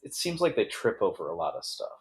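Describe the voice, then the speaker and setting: A man chatting casually on a podcast, halting and stammering as he repeats his words. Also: on the low side, sing-song, a little hiss or hum. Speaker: podcast producer and guest. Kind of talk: casual chat.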